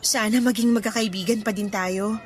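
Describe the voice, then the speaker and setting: A woman acting in a Filipino radio drama as a cheerful, gentle young woman letting down her suitors. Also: mid-range voice, fairly even intonation, normal speed, a little hiss or hum, natural voice. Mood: gentle, serious.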